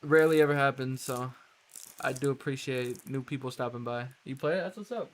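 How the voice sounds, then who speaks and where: A man's voice: mid-range voice, fairly quick, slight background noise. A man chatting casually with viewers as a game streamer, friendly, appreciative and slangy. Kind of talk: casual livestream chat with viewers.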